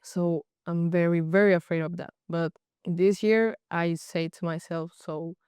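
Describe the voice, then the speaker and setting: A young woman from Colombia with a Colombian Spanish accent, a native Spanish speaker speaking halting English with frequent restarts in a casual interview. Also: lowish voice, fairly even intonation, darkish tone, clear, resonant tone, no echo, clean studio sound. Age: young adult. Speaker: guest, college goalkeeper.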